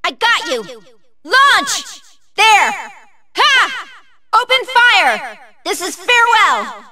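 A woman's voice: extremely high pitch, very animated, normal speed, sharp tone, heavily acted. A woman playing an earnest, polite young female android fighter in a video game, calling out clipped, formal battle commands. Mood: determined. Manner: clipped, formal machine-like status callouts.

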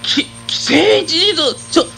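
A man's voice: very high-pitched, lively intonation, takes it slowly, vocal fry, bright, forceful tone, soft background music, exaggerated voice. An actor playing a stubborn, pompous father in a comic radio drama, with old-man diction and a stammer when scandalised. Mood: shocked, flustered.

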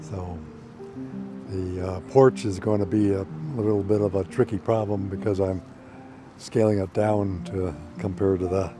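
A man's voice: low-pitched, steady tone, unhurried. An older man, a painter, casually explaining his work outdoors to a camera nearby. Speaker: painter being interviewed. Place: outdoors on a lawn. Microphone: camera a few feet away. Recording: background music over outdoor voice.

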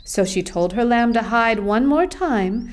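A woman's voice: fairly high pitch, expressive, unhurried. A woman narrating a scripted children's story as the narrator of a puppet show.

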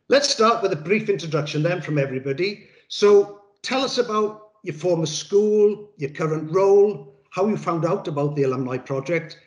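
A man moderating a panel, reading clear introductions and handing over to guests. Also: a little high, darkish tone, reverberant. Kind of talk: hosting a panel.

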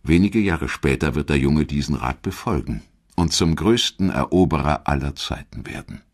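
A man reading scripted history documentary narration in a measured, clearly articulated storytelling manner. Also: very deep voice, animated.

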